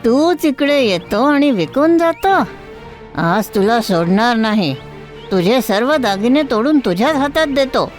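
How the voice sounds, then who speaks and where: A woman's voice: fairly high pitch, expressive, measured pace, faint music behind. A woman voicing a jealous, bullying male bangle merchant in a storybook, scolding and menacing. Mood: threatening. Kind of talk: storybook character voice.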